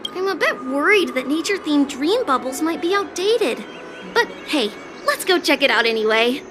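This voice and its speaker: A woman's voice: high voice, big pitch swings, moderate pace, noisy background. A woman playing a practical, slightly skeptical young tourist merchant in an anime-style video game. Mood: doubtful.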